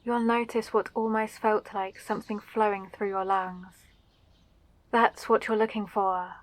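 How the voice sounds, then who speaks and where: Woman playing a gentle, unhurried lady mage. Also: medium pitch, little pitch movement, dry recording, natural voice. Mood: soothing. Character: kind, eager female fantasy mage teaching magic. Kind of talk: roleplay ASMR audio drama.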